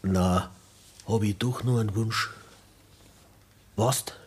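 A dying farmer in an old radio play, with a very deep voice. He talks slowly and calmly, husky and resigned, at peace with his end. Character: dying Bavarian peasant man, calm, resigned. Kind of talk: 1960s radio drama acting.

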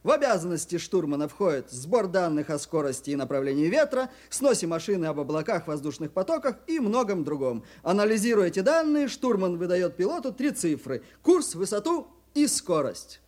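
An actor playing a young aviation student in an audio drama, reciting earnestly and precisely. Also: male voice, high-pitched, big pitch swings, fairly quick, hardly any pauses, darkish tone. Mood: earnest, dutiful. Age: young adult.

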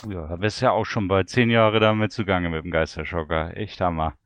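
Even-toned man hosting interviews. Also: sits low, brisk, clean tone, dry recording. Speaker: radio show host interviewing a guest. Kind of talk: casual radio interview talk.

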